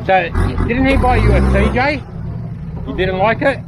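A man's voice: very high-pitched, normal speed. A man filming an offroad trip, chatting and joking with other drivers on an outdoor bush track. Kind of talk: casual chat. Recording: loud engine noise outdoors.

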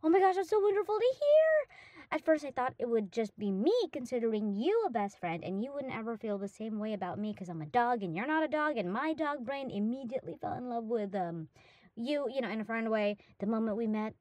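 A woman's voice: on the high side, lively intonation, brisk, a little mellow, dry recording, natural voice. A woman playing a hyperactive, affectionate young dog-girl roommate in a roleplay audio drama, gushing and rambling eagerly to the listener. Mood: overjoyed.

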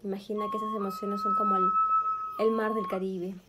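A woman leading a guided meditation in a soothing, gentle manner, speaking in short, spaced phrases. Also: mid-range voice, flat delivery, a little fast, heavy background noise. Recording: background music with flute. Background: meditation music with flute.

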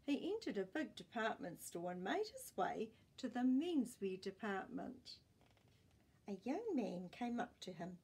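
An older woman reading a picture book aloud expressively, pausing to put questions to her listeners. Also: mid-range voice, big pitch swings, darkish tone, slightly rough. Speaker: children's storytime reader. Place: small indoor room with bookshelves.

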